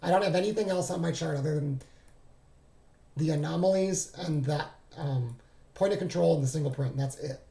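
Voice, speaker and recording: A man hosting a trading walkthrough who talks casually and thinks aloud, halting and correcting himself, and is losing his voice. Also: on the high side, creaky, mellow, echoey room. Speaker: trading streamer. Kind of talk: casual screen-share walkthrough.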